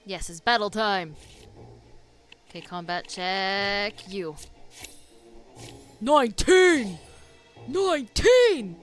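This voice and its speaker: A woman streamer chatting and reacting excitedly as she plays through a game. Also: medium pitch, very slow, pauses often, faint music behind. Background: game audio.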